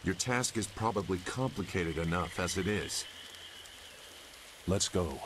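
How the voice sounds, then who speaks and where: A man's voice: low voice, unhurried. A man playing a reluctant, world-weary, guarded loner warrior in a video game, speaking tersely. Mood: guarded, resolved. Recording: clear noise behind game dialogue.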